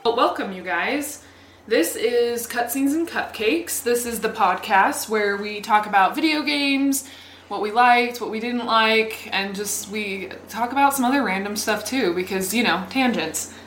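A young woman hosting a casual podcast, friendly and relaxed, giggling now and then. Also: on the high side, a little slow, soft background music. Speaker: podcast host. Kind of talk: casual podcast intro and chat. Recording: roomy echo with faint ambience.

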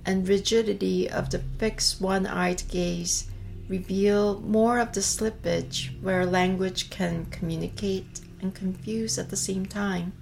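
Calm, low, gravelly Korean-born woman. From South Korea. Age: middle-aged. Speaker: artist. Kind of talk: reflective personal narration. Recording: faint music behind. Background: soft music.

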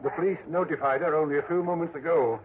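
A man's voice: on the high side, quick pace, natural voice. An actor playing an agitated, insistent American businessman in an early 1960s radio drama, fussy and given to repeating himself for emphasis. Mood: urgent, certain. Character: agitated, insistent businessman sure of foul play. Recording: dull, hissy old radio recording.

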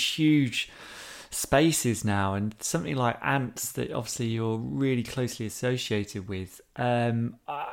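Man asking long, winding questions. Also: mid-range voice. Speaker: interviewer. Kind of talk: casual interview questions.

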